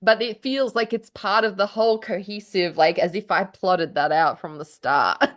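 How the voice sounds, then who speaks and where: A woman in a casual chat between writers, telling stories in an effusive, animated way with the odd casual swear word. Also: medium pitch, moderate pace.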